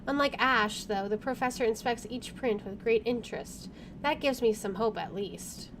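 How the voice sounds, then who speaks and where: A woman's voice: fairly high pitch, normal speed, background noise. A woman streaming a video game, reading its story narration aloud and adding casual asides.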